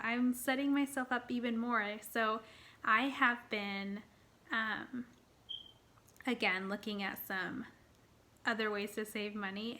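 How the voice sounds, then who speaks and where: A woman of thirty talking casually to her viewers, excited and rambling, with fillers and restarts. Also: a little high. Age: 30s. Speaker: personal finance vlogger. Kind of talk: casual talk to camera.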